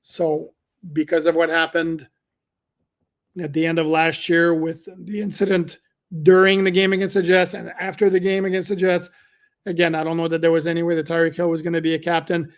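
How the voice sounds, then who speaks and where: A man's voice: a little high, completely flat delivery, a little fast. A middle-aged man hosting a solo sports podcast, talking conversationally with fillers and self-corrections, his voice cracking at times. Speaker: podcast host.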